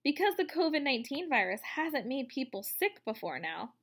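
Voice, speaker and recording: A woman's voice: high voice, big pitch swings, moderate pace, a bit bright, husky. A woman in her thirties reading a children's book aloud, explaining simply and expressively. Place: indoors, room at home.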